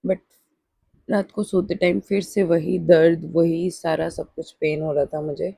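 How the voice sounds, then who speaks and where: A woman narrates her personal vlog reflectively. Her voice is dark and relaxed, with audible creak at the edges. The recording is close and dry. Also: on the low side, unhurried, no echo. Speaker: daily vlogger.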